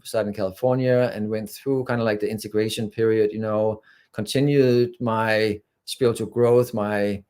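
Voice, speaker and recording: A man's voice: on the low side, fairly even intonation, normal speed, dark tone, smooth. A man from Denmark, a spiritual channeler talking as a guest in an interview in an earnest, rambling way, often filling with "you know". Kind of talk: casual interview talk.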